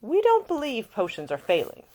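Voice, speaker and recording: A woman voicing a composed, probing older gentleman, an uncle and lord who speaks formally and deliberately in an audiobook story. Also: fairly high pitch, wildly swooping pitch, voice pushed up. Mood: grave, formal.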